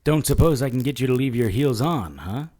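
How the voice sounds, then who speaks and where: Guy doing a wisecracking noir investigator for audio drama, dry and defiant, pitch jumps around a lot. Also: lively intonation, fairly quick, darkish tone, lightly acted. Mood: wry. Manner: sardonic, dry. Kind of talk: audio drama acting with first-person noir narration.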